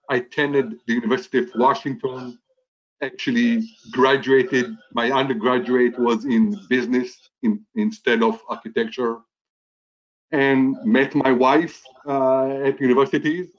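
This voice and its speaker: A middle-aged man speaks in a gracious, measured way about where he comes from. His pitch is ordinary and mid-range. The sound is a little dull and lo-fi. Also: fairly even intonation. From Israel. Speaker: commercial real estate broker, guest. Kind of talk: telling his life story in an interview.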